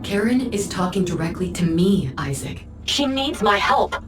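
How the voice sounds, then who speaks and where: A woman's voice: medium pitch, expressive, moderate pace, distant mic, music in the background, close to an everyday voice. A woman playing a driven young starship scientist in a game, intense, urgent and focused. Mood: urgent, determined.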